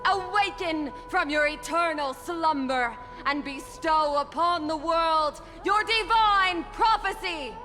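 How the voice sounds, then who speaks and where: A woman's voice: very high voice, takes it slowly, few pauses. A woman playing a solemn herald in a video game, making grand, declamatory proclamations to worshippers and a god. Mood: reverent, proclaiming. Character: female herald solemnly summoning a sleeping god before worshippers. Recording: long hall reverb, faint music behind.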